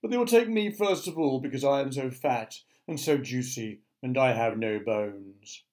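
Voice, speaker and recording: A man voicing a plump, fearful earthworm in a children's story, loud, wailing and alarmist. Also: on the high side, steady tone, slow pace, recorded from a distance, voice pushed up. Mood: wailing dread. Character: panicky, wailing, plump male earthworm who fears being eaten.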